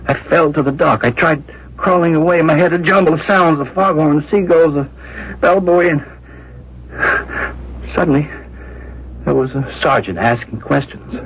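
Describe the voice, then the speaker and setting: A man's voice: on the high side, normal speed, pauses often, fairly bright tone, breathy edge. An actor playing a distraught, tormented man giving testimony, with faltering, intense, theatrical 1970s radio drama delivery. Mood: anguished. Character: distraught male witness, tormented and confessional. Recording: muffled 1970s radio broadcast.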